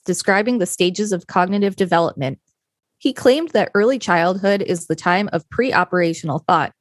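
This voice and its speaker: A woman's voice: lowish voice, dry recording. A woman co-hosting a podcast, narrating an encyclopedia article read straight from the text.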